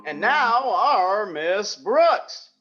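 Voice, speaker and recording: A man's voice: sits high, sing-song, drawn-out words, pushed and bright, soft background music, full character voice. A man playing an old-time radio sitcom announcer, giving scripted narration theatrically, in a slightly dull recording.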